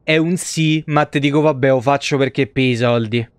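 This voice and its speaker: A young man doing casual, animated live game commentary in slangy language with frequent swearing. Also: mid-range voice, normal speed, smooth, no room sound, studio quality. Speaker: game streamer.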